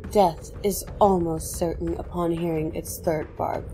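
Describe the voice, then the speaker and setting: A regal elven queen voiced by a woman speaks slowly in a dark, mellow voice over faint music. She is composed, stately and grave, as in a fantasy audio drama. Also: lowish voice, steady tone, natural voice. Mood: grave, reverent.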